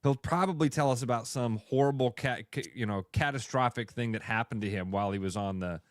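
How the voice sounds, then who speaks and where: A man's voice: mid-range voice, fairly quick, no room sound. A man co-hosting a radio show, joking and telling stories in a studio. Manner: joking, storytelling. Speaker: radio co-host. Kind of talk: casual chat.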